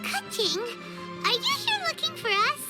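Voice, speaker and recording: A woman voicing a tiny, childlike, naive fairy companion in a video game, squeaky and exclamatory. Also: very animated, normal speed. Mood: eager, curious. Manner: speaks of herself in third person, exclamatory. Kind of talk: scripted video game cutscene acting. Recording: loud music behind the voice. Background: prominent background music.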